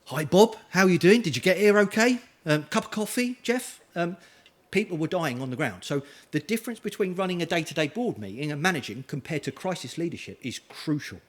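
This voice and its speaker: Lively energetic male conference speaker. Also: a little high, breathy edge. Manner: energetic, asks the audience questions. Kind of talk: conference talk with audience questions. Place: conference hall.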